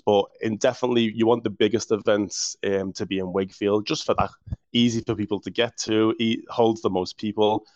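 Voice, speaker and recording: Enthusiastic Liverpool-accented man, council official; low, level voice, muffled dry audio. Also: steady tone, a little fast, darkish tone, dry recording. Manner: enthusiastic, restarts words often. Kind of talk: interview answers.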